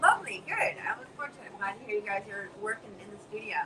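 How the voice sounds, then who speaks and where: A woman's voice: medium pitch, expressive, rapid-fire, a crowd in the background. A woman interviewing casually, with effusive, encouraging interjections between her questions. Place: outdoor terrace by a beach. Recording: outdoor recording with background noise.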